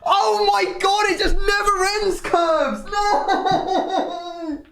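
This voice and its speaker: A man hosting a gaming video, hoarse from shouting, excitable and groaning with laughing disbelief. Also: very high voice, extremely slow. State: hoarse, voice strained. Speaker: YouTube gaming host. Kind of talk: casual gaming commentary.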